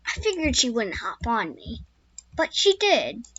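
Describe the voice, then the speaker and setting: A woman voicing a young wild male horse, a skittish loner, telling his own story in first person with a squeaky voice. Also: huge pitch swings, measured pace, a bit bright, no echo, lo-fi sound, heavily acted. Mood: wistful. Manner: first-person storytelling with restarts, turning frantic when scared. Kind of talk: amateur spoken story.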